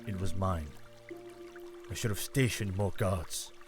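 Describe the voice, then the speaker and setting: A man's voice: deep voice, measured pace. A man voicing a young, earnest fish-folk prince in an audio drama, speaking formally. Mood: guilty, grieving. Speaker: voice actor. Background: music.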